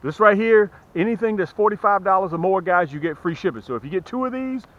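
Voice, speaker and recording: A man with a fairly high, very lively voice talks to the camera as a fishing host and tackle seller. He is folksy and emphatic, repeating himself to drive points home. The sound is slightly muffled with some background noise. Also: quick pace. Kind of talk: casual sales pitch to camera.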